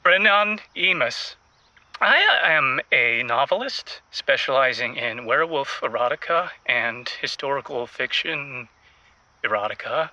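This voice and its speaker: A man playing an awkward, self-important novelist phoning a radio show in an audio comedy, speaking in a pompous, halting way. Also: medium pitch, lively intonation, bright, forceful tone, no echo, muffled recording. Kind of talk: audio comedy drama acting.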